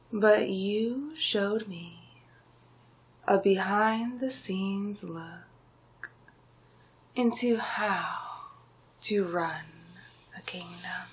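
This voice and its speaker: A smug villain sorcerer played by a woman speaks in a husky, breathy hush for an ASMR roleplay. The pace is extremely slow and deliberate, often slipping into whispers. He gloats and commands like a hypnotist, domineering and sure of his power. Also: medium pitch, fairly even intonation. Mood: smug, hypnotic.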